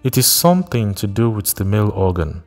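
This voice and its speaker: A gentle, sympathetic village doctor in a storybook reading, as a male narrator voices him, with a low, steady, reassuring tone. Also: a little fast, long reverb tail, soft background music. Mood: calm.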